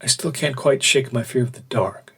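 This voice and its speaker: A man's voice: mid-range voice, moderate pace, a bit bright, breathy edge. An actor playing a solitary, uneasy man in a remote shack, speaking terse, contemplative journal entries in an audio drama. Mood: quiet, uneasy.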